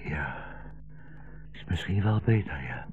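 An old blind rabbi in a 1960s radio play, dying and visionary. His low voice is full of gravel and moves slowly, with gentle, fatherly solemnity. The old broadcast recording is muffled and narrow. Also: lively intonation, heavy background noise. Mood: pained, weakening. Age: older. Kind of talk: 1960s radio drama acting.

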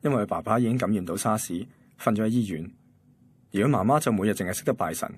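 A man's voice: lowish voice, fast talker, slightly rough, no echo. An actor playing an easygoing, reflective young man from a radio drama, with a Hong Kong accent. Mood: reflective, narrating. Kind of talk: radio drama dialogue and narration.

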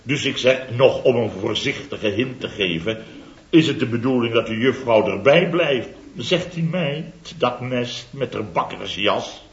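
An actor playing a boastful middle-aged contractor, telling a comic story in a theatrical, chatty way for a 1970s radio comedy serial. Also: male voice, mid-range voice, a little slow, echoey room, dull audio, close to an everyday voice.

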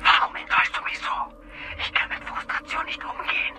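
An actor playing a manipulative, sadistic male stalker in a radio crime drama, speaking in a breathy, coaxing, controlling whisper. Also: a little fast, very noisy, lightly acted. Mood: wounded, reproachful. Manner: coaxing, controlling, unsettlingly tender.

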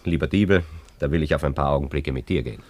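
Very deep-voiced, wry gentleman. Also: quick pace, no room sound. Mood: dry, probing. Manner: wry, laconic. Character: dry, sceptical friend, coolly observant gentleman. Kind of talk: German radio play acting.

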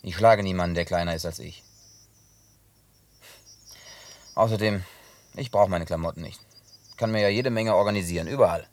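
A man acting in a German radio play as a gruff, hot-headed but harmless drifter who tells things in a colloquial, grumbling way. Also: sits low, fast talker, darkish tone, natural voice. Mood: annoyed, resigned.

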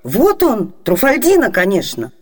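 A woman's voice: mid-range voice, wildly swooping pitch, unhurried, breathy edge, heavily acted. An actress playing a spirited lady in a comedy radio play, emphatic and theatrical. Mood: startled. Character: spirited lady master of a servant.

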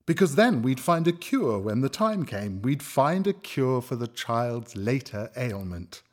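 Slow, husky, hesitant man. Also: mid-range voice, sing-song. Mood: halting, insistent. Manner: halting, searching memory, self-correcting. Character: hesitant man recalling experiments on children. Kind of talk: radio drama monologue.

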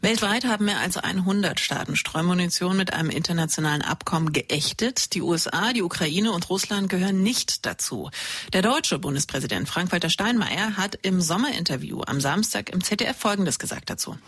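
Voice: mid-range voice, expressive, a little fast, a bit bright, breathy edge. A news presenter reading scripted background information.

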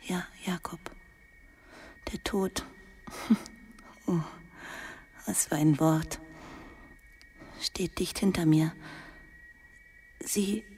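Slow, whispering, dying schoolmistress. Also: female voice, lowish voice, fairly even intonation, full character voice. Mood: anguished, faint. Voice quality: breathy, half-whispered. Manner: slow, hushed, confiding, tender. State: weak, dying (acted). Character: dying, sorrowful, tender schoolmistress confiding in her pupil. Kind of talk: intimate literary radio drama.

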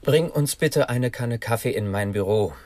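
An actor playing a weary, calm police chief in a radio drama, matter-of-fact and dry. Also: male voice, mid-range voice, moderate pace, no room sound, lightly acted. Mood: calm, reassuring. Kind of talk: German radio drama acting.

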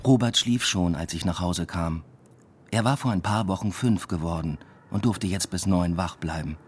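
Low-voiced, dryly ironic man acting narrating. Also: moderate pace, a little hiss or hum, close to an everyday voice. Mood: dry, reflective. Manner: dry, understated, reflective. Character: weary, ironic male literature lecturer telling his story. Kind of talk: radio play first-person narration. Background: music.

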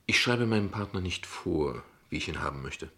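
An evasive father in a radio drama, with a very deep voice. His tone is husky, and he talks in wordy, ironic turns with small hesitations, always deflecting. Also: animated, moderate pace, bright, forceful tone, heavily acted. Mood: wry, defensive. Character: evasive, ironic, verbose father defending his unconventional family. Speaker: actor in a radio play. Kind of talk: dialogue radio play acting in standard German.

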